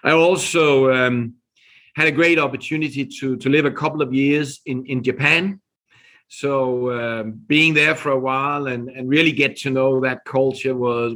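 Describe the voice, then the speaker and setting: A middle-aged man with a Danish accent talks through a podcast interview in an ordinary-pitched voice. He speaks in a measured way, often restarting and repeating words as he goes. He sounds like a business guest telling his story. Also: fairly even intonation, fairly bright tone. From Denmark. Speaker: guest, company executive.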